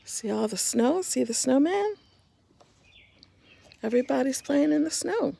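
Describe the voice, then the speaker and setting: A woman reading a children's story aloud in an expressive, simple storytime manner, talking directly to young listeners. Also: high voice, unhurried, gravelly.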